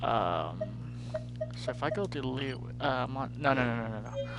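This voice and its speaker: A man's voice: medium pitch, animated, a little slow, creaky, heavy background noise. A man doing casual live gameplay commentary as a streamer, muttering to himself and repeating his phrases. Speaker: game streamer.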